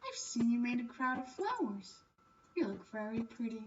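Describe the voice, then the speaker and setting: A kind young noblewoman as acted by a woman in a visual novel. Her voice is high and politely soft-hearted, easily flustered. The sound is slightly dull, with faint noise. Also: sing-song, moderate pace, far from the mic. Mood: gentle. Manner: stammering, polite.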